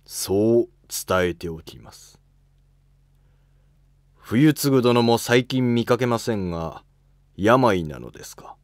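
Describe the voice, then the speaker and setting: A man's voice: medium pitch, animated, slow pace, long pauses, no room sound, studio quality. A man voicing a loyal court aide in a historical drama, speaking respectfully and formally. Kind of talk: amateur historical drama dialogue.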